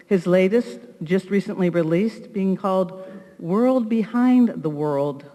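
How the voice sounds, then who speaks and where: A woman giving a solemn, deliberate welcome address as a congregation leader, with weighty pauses. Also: fairly low pitch, a little mellow. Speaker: congregation leader introducing a speaker. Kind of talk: prepared ceremonial welcome address. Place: large hall, live ceremony. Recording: reverberant hall.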